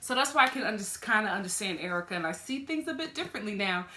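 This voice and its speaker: Young female reviewer, sassy and animated, bright tone in a reverberant room. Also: fast. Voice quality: fairly deep voice. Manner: animated, sassy, talks straight to the people on screen. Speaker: reality TV reviewer. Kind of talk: casual reaction commentary.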